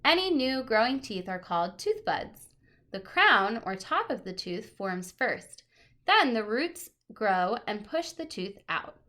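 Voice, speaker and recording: Higher-voiced woman teacher reading aloud. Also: very animated, a little slow, pushed and bright. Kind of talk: read-aloud of a children's nonfiction picture book with casual asides.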